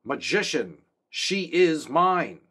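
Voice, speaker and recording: A man reading aloud gives an earnest young king from a fantasy novel. The voice sits higher than his own and stays husky, with a lively, rising and falling delivery. The figure comes across as anguished and determined. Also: a little slow, heavily acted. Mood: commanding.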